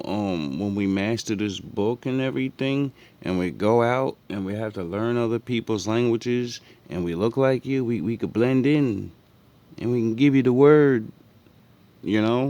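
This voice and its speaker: Low-voiced sarcastic man commenting. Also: darkish tone, smooth. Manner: sarcastic, profane, mocking asides. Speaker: commentator reacting to clips. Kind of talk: casual reaction commentary.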